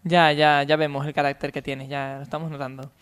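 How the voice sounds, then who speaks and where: A man's voice: a little high, level tone, fairly quick, a bit bright, clear, resonant tone. A man with an accent from Spain hosting a comedy call-in radio show. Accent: Spanish (Spain). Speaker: radio host.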